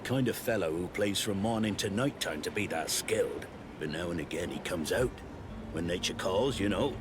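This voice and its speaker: A man's voice: low voice, expressive, normal speed, music in the background. An actor playing a shaken, defensive seafaring crewman in a fantasy game, rueful and old-fashioned in his turns of phrase. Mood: earnest, wistful. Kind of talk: video game dialogue acting.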